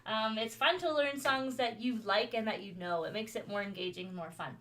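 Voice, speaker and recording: A woman's voice: moderate pace, a bit bright, poor audio. Chatty woman teaching gospel piano. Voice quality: higher voice. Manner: friendly, chatty, with frequent "um" and small laughs. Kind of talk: online gospel piano lesson. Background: piano playing.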